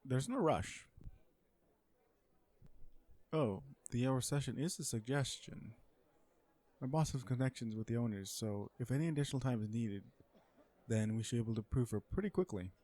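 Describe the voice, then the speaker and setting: A mellow, relaxed male voice of middling pitch acted by a man. He is a villain out on a blind date, blunt and earnest yet socially awkward. He hesitates, then explains himself in deadpan, legal-minded fashion, as in a romantic comedy audio roleplay. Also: moderate pace, long pauses, lightly acted. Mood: earnest, businesslike.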